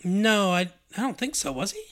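A man co-hosting a casual movie chat, agreeable and halting, with lots of fillers. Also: sits high, big pitch swings, fairly bright tone. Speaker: podcast co-host.

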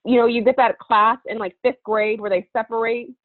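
A woman's voice: fairly high pitch, fairly even intonation, normal speed, no echo. Phone-like audio, candid woman panelist. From Alabama, United States. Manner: candid, informal, swears freely. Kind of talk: casual personal storytelling. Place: online video call. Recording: tinny, phone-like call audio.

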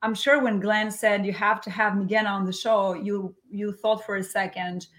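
A woman with an Albanian accent chats as a guest in a casual interview. Her voice sits at an ordinary female pitch, and she is playful and emphatic, repeating phrases to make her point. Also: fairly even intonation, normal speed, fairly bright tone.

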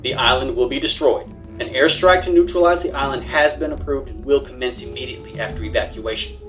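A man's voice: medium pitch, fast talker, a bit bright, soft background music, close to an everyday voice. Stern, distant man acting as a navy captain. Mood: formal, stern. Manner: formal, authoritative. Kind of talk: audio drama acting.

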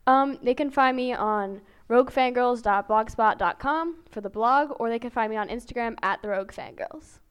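A woman's voice: on the high side, normal speed, hardly any pauses, smooth, muffled recording. A woman guest chatting casually on a podcast. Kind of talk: casual chat.

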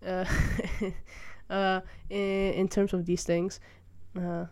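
Young woman, from Malaysia, solo podcast host, unhurried, smooth clear voice, thoughtful, lots of ums and restarts. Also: fairly low pitch, level tone, a little mellow. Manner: thoughtful, hesitant, many fillers and restarts. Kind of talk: casual solo monologue. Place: room by a window, rain outside.

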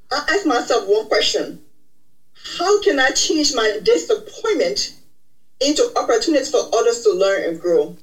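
A woman's voice: a little high, low-quality recording. A middle-aged woman from Liberia, a podcast guest earnestly telling her life story in a reflective way. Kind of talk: personal story in interview.

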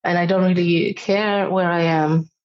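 A woman from Israel, a singing teacher talking frankly and thoughtfully, her voice slightly hoarse. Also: on the low side, steady tone, a little slow, lo-fi sound. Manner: thoughtful, frank, laughs often. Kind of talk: casual interview chat.

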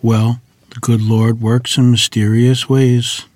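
A man's voice: mid-range voice, little pitch movement, slow, dry recording, lightly acted. A man playing a courteous, devout rural piano tuner in a period table read, deferential and folksy. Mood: respectful. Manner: deferential, folksy, gently persuasive.

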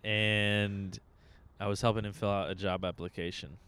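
Guy on a podcast, flat low voice, super deadpan, casual, takes his time. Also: moderate pace, slight background noise. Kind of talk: casual chat.

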